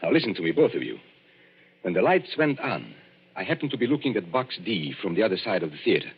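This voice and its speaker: An actor playing a suave, commanding foreign gentleman sleuth in a 1940s radio drama, speaking calmly and precisely. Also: deep voice, fast talker, slightly rough, heavily acted. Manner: calm, precise, authoritative. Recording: dull old radio broadcast recording.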